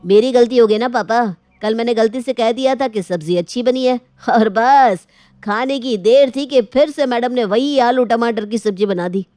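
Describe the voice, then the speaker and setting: A woman's voice: fairly high pitch, normal speed, dark tone, no room sound, close to an everyday voice. A woman voicing a picky, pampered husband in a domestic story, with a demanding manner. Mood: grumbling. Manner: grumbling, demanding. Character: fussy, picky adult husband, pampered son.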